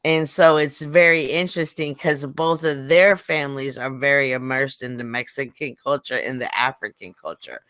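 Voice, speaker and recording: A woman in her fifties talks on an online panel. Her voice sits low, and the sound is dry and close. She tells family stories in a chatty way, breaking into laughter often. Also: normal speed, hardly any pauses, fairly bright tone, smooth, no room sound. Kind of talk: casual group chat. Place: online voice chat.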